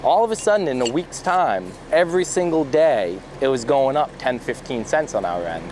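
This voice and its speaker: A man of medium pitch speaks conversationally, as a small business owner being interviewed. The location sound is clearly noisy. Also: big pitch swings, normal speed.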